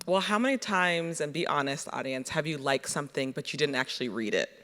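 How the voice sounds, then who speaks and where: Higher-voiced man chatting in an echoey hall. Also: animated, brisk, sharp tone. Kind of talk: casual panel discussion. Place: large hall with audience. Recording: echoey hall, amplified panel.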